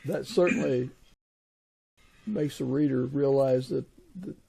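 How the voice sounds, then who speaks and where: A man chatting casually in an interview, speaking in a hesitant, reflective, measured way. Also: medium pitch, vocal fry, a crowd in the background, rough recording.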